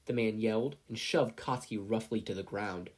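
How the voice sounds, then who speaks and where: A man's voice: lowish voice, moderate pace, breathy edge. A man reading a scripted story aloud as a fiction narrator. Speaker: podfic narrator.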